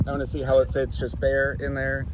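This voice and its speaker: A man's voice: medium pitch, a little fast, background noise. A man in his garage chatting casually and rambling through small car projects, with lots of fillers. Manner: relaxed, rambling, filler-heavy. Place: home garage.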